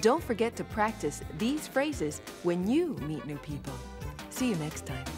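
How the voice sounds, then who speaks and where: Lively woman hosting a lesson. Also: mid-range voice, measured pace, music in the background. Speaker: lesson host.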